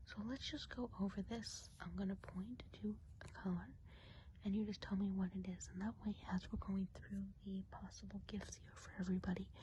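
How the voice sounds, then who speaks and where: A woman playing a kindly, chatty witch of the woods in a whispered ASMR role play, speaking gently and without hurry in a soft, breathy whisper. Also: medium pitch, steady tone, slight background noise, close to an everyday voice, low-quality recording. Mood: gently caring. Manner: gentle, unhurried, chatty, hesitant asides.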